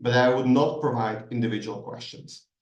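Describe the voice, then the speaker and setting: A man's voice: medium pitch, steady tone, moderate pace, highs cut off. A man giving a considered masterclass answer, full of ums and restarts. He sits away from the mic, so his voice comes through distant. The room around him rings with echo. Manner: thoughtful, hesitant, many ums and restarts. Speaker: guest expert answering questions.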